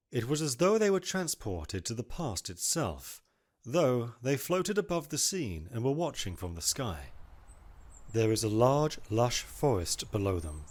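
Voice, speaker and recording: An atmospheric male narrator, an actor sets the scene for a fantasy tale. His scripted storytelling is descriptive, with lively movement in pitch.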